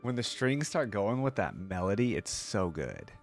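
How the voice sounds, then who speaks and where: A man's voice: lowish voice, big pitch swings, moderate pace. A man hosting a reaction video, giving casual, exclamatory commentary and repeating words for emphasis. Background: music playing behind the voice.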